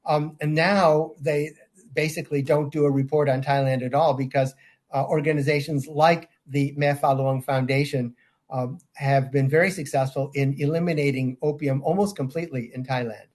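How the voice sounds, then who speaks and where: An older man speaking on a video call with a slightly high-pitched voice. He answers in a measured way and often pauses with ums and restarts his sentences. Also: steady tone, darkish tone. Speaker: guest, safeguards auditor. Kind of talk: interview answers.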